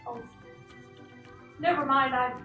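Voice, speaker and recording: A woman's voice: sits high, fairly even intonation, takes it slowly, narrow, tinny audio. A woman voicing a naive, whiny young male puppet in a children's show, hesitant and easily discouraged. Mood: naive wonder. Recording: echoey room, distant mic, music behind.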